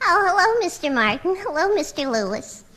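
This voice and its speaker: A woman's voice: very high voice, very animated, brisk, bright, forceful tone, a little hiss or hum, full character voice. An actress playing a ditzy young female office secretary in a 1940s radio comedy, airy and scatterbrained.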